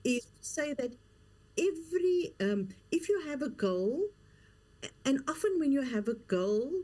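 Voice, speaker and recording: A woman's voice: sits high, sing-song, unhurried, darkish tone. A middle-aged woman, a coach and author speaking as an interview guest, earnest and emphatic, often repeating her key phrases. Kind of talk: interview conversation.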